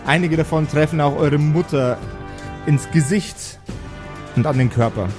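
Male game master, higher-pitched, dramatic storyteller who slips into casual rules talk, music bed clearly present. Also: unhurried. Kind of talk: narrating an improvised tabletop role-playing game.

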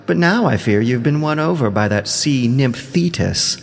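A man's voice: medium pitch, lively intonation, moderate pace, gravelly, big-room sound, pitched above the performer's own voice. A man voicing a jealous, suspicious queen goddess who scolds her husband reproachfully in an audio drama.

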